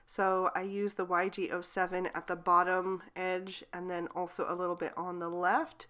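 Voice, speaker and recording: A woman hosting a craft channel, slightly hoarse from a cold, calmly explaining step by step as she narrates a card-making coloring tutorial. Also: lowish voice, normal speed.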